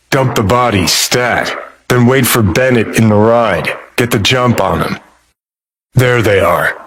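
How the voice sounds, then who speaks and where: A cynical, middle-aged American rocker ghost from a video game. His voice sits fairly low and he takes his time with every line. The delivery is a world-weary, slangy drawl, sardonic and foul-mouthed. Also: fairly bright tone, full character voice. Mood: sardonic, wry. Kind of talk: video game character dialogue.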